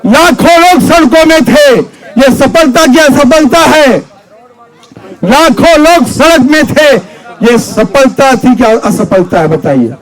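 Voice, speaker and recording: Very high-pitched man giving slow rally speech. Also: animated, a faint crowd, poor audio. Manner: forceful, declamatory, repetitive rhetorical questions. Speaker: Buddhist monk and activist speaker. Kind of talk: impassioned political rally speech. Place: stage at a public rally.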